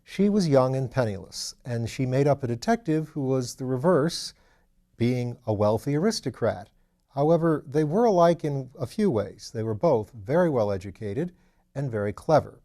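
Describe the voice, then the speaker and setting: Male reader for classic fiction: soft, mellow, measured and articulate scripted narration. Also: mid-range voice, expressive. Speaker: audiobook reader and program host.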